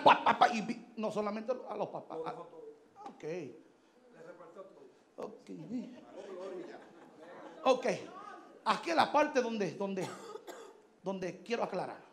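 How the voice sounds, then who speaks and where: A man in his thirties preaching a fiery sermon on a church stage into a handheld mic, shouting and mixing Spanish with English. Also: high-pitched, lively intonation, slow pace, long pauses, faint music behind. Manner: shouting, emphatic, switching between Spanish and English. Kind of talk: Pentecostal sermon. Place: large church stage indoors. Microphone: handheld microphone.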